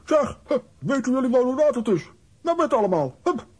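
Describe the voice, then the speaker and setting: An actor playing a grumpy, blustering comic hero in a children's cartoon audio play, with a whiny manner. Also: male voice, very high-pitched, very animated, brisk, darkish tone, breathy edge, heavily acted. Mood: bossy grumbling.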